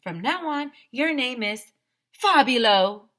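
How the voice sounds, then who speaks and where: A theatrical fashion photographer voiced by a woman reading a storybook who gushes in drawn-out exclamations. Her pitch is high and swoops widely, and her tone is bright. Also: animated, exaggerated voice. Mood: starstruck, insistent.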